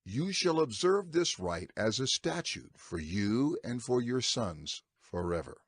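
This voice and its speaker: A man playing an earnest male prophet instructing his people in a dramatized scripture recording, with a husky voice. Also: medium pitch, very animated, measured pace, heavily acted. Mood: earnest, urgent instruction.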